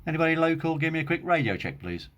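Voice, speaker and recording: A man gives a casual hands-on demonstration, thinking aloud in an unhurried, hesitant way. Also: a little high, sing-song, a bit bright, slight background noise. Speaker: hobbyist video presenter.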